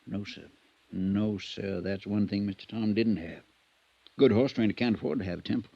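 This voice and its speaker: A man's voice: lowish voice, normal speed, vocal fry, husky. A man playing a loyal, worried stable hand in a 1950s radio drama, speaking in a folksy, hesitant, respectful way. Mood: reflective. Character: deferential, plain-spoken male stable hand, loyal and worried. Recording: dull, muffled vintage radio recording.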